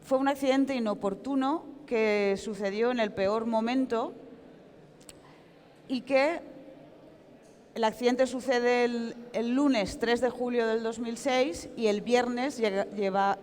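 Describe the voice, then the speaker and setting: A woman's voice: on the high side, steady tone, unhurried, a little mellow. A woman with a Valencian Spanish accent, frankly and emphatically telling an account as a journalist and author being interviewed in public. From Valencia, Spain. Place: large reverberant hall at comic convention. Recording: echoey hall with long reverb.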